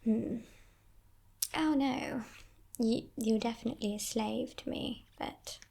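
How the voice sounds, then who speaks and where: A woman acts out a sly demon kidnapper, a female captor in an ASMR roleplay. Her voice is a breathy, mostly whispered murmur with crackling fry, somewhat high for a woman. She takes her time, teasing and condescending, every coaxing line carrying quiet menace. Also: heavily acted. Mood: disdainful, teasing. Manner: slow, teasing, condescending, softly threatening.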